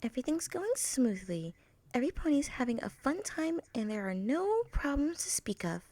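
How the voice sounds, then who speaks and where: A woman's voice: high-pitched, very animated, moderate pace, darkish tone, no room sound, voice pushed up. A woman voicing a friendly, eager young female pony princess, sweet and polite, in a storybook reading. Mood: content. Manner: apologetic, bubbly, exclaiming.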